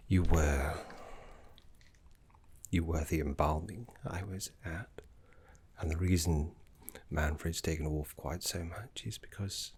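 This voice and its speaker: An elderly gentleman necromancer played by a man speaks in a slow, deep voice that keeps sinking into a husky whisper. He is gentle and wistful, with formal, genteel wording and halting phrases, in the intimate style of an ASMR roleplay. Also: steady tone, full character voice. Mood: wistful, regretful. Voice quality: breathy, husky, soft half-whisper.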